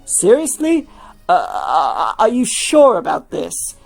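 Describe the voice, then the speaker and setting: A woman's voice: a little high, sing-song, slow pace, creaky, darkish tone, heavily acted. Young male rocker, supportive boyfriend type played by a female reader. Casual, coaxing lines, music underneath. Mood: amazed, hesitant. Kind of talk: fan fiction reading.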